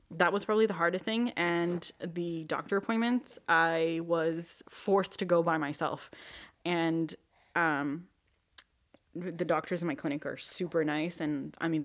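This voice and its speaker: A young woman and new mother telling her pregnancy story in a relaxed interview, with many fillers and restarts. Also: sits low. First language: not French. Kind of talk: personal testimony interview.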